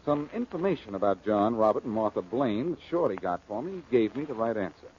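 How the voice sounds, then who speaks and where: An actor playing a glib, wisecracking American amateur detective in a 1940s radio drama, teasing and self-assured. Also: male voice, fairly low pitch, big pitch swings, a little fast, a little mellow. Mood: assured, explaining. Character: cocky, wisecracking male amateur detective, ex-crook. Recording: muffled, noisy 1940s radio recording.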